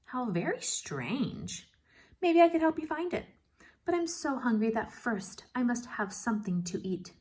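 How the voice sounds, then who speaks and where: A woman's voice: a little high, very animated, unhurried, darkish tone, voice pushed up. A woman voicing a sly, plucky young storybook boy with an eager, innocent-sounding manner. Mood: feigned innocence.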